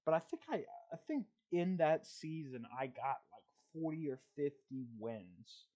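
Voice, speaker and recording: A man's voice: fairly high pitch, lively intonation, measured pace, mellow, highs cut off. A man hosting a podcast in casual chat, telling rambling stories with plenty of swearing. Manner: loose, profane, rambling storytelling. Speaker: podcast host.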